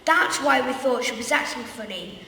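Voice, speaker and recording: A woman's voice: fairly high pitch, lively intonation, moderate pace, pushed and bright. A young woman playing a casual, lazy schoolboy wizard hero in a comic amateur radio play. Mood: explaining. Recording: very echoey hall from far off, faint noise.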